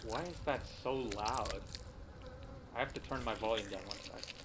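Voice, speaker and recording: A man's voice: lowish voice, normal speed, background noise. A man playing a video game and thinking aloud in a casual, questioning way. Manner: questioning, musing. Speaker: video game player in a horror series. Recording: music clearly behind the voice. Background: music.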